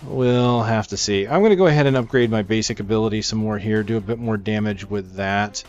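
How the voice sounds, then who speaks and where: A man's voice: fairly low pitch, brisk, darkish tone. A man giving casual walkthrough commentary on a video game, as the narrator of a gaming video. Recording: slightly dull mic, game music behind. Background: video game music.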